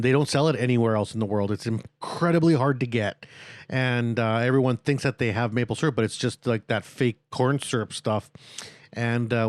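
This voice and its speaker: A man with a smooth, resonant voice hosts a podcast interview in a loose, rambling way. The sound is dry and close, with lots of fillers and chuckles. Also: mid-range voice, dry recording. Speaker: podcast host. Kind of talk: casual interview hosting.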